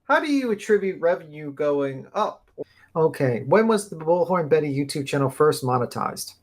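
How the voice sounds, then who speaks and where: A man questioning a witness as an attorney, formal and persistent, repeating questions deliberately. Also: a little high, lively intonation. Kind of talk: formal deposition cross-questioning.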